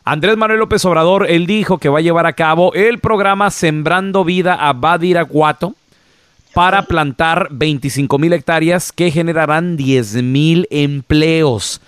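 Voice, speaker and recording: A man's voice: on the high side, unhurried, fairly bright tone, smooth, dry recording, clean studio sound. A man hosting a casual radio talk show, chatting with guests and retelling news in a conversational way. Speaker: radio and podcast host.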